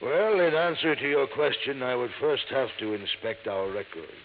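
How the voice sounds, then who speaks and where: An actor playing a pompous, formal older safe manufacturer in a 1950s radio drama. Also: male voice, mid-range voice, normal speed, background noise, lightly acted. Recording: tinny, roomy old radio broadcast.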